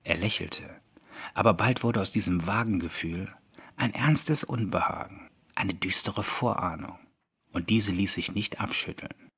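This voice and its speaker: Low-voiced man narrating a horror story. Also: a little slow, fairly bright tone, husky. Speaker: audiobook narrator. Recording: background music under the voice. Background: music.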